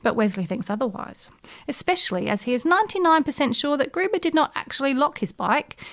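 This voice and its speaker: A woman with a fairly high voice presents a scripted book review. She narrates like a podcast reviewer recommending a story to listeners.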